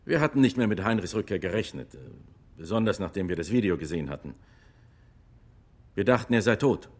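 Husky, animated ex-officer witness. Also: male voice, on the low side, brisk. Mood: matter-of-fact, earnest. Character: retired male army lieutenant testifying as witness. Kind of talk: radio drama courtroom testimony.

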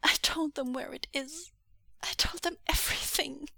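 A woman voicing a frightened, guilt-ridden teenage boy in a story, crying and stammering in breathy whispers. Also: sits high, fairly even intonation, moderate pace, sharp tone, no echo, clean studio sound, pitched above the performer's own voice, full character voice. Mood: guilty, sobbing.

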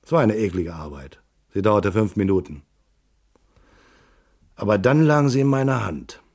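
A tough male private eye telling his own story in a radio crime play. His voice is fairly low. The delivery is terse, laconic and matter-of-fact, in classic hard-boiled style. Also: lively intonation, normal speed, darkish tone. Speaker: first-person narrator.

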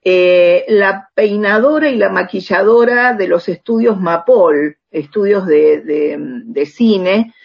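A woman's voice: mid-range voice, takes it slowly, vocal fry, few pauses. A middle-aged Argentine woman talking conversationally as an interview guest, often checking in with little tag questions. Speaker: radio drama writer, interview guest. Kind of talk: conversational interview, telling anecdotes. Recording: slightly dull lo-fi sound.

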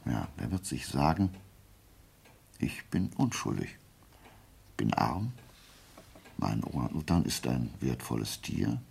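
An actor playing a shrewd, self-assured gentleman detective in a German radio play, reasoning deliberately and with sly politeness. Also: extremely low pitch, very animated, vocal fry, slightly rough, full character voice. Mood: confident, reasoning.

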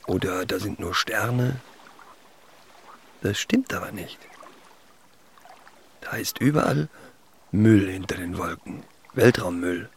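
A pensive, poetic teenage boy, very deep and creaky in voice. He speaks with understated hesitation in a radio drama, over a faint watery background. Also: takes it slowly, pauses often, a little hiss or hum, heavily acted. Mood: musing. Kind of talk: naturalistic radio play dialogue.

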